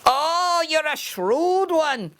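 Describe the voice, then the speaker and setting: Storybook: woman voicing a squealing tiny leprechaun man, tricky and rude, pitched very high, with a slow, drawn-out delivery. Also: lively intonation, a bit bright, full character voice. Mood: grudging. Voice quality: shrill, squealing. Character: sly tiny male leprechaun, tricky and insulting.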